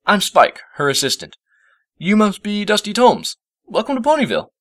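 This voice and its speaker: Man doing a wry, bright young boy creature sidekick. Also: sits high, huge pitch swings, normal speed, no room sound, voice pushed up, heavily acted. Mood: friendly. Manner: casual, dry teasing. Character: wry, friendly young male assistant creature. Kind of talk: storybook character voice.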